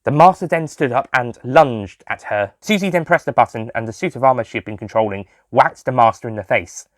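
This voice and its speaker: A man's voice: medium pitch, a little fast, dry recording, studio quality. A man reading a scripted audio story as its narrator. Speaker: writer and narrator.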